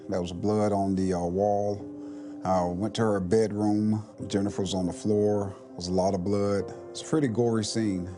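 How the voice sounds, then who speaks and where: A man's voice: on the low side, little pitch movement, moderate pace, music in the background. A middle-aged man, a police investigator, answering interview questions in a plain, matter-of-fact way. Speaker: police lieutenant, homicide investigator.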